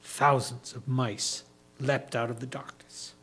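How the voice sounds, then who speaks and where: A man tells a story in a reader's theatre style. His delivery is slow and deliberate, with theatrical stress on key words, in a medium-pitched voice. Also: little pitch movement, a bit bright, husky.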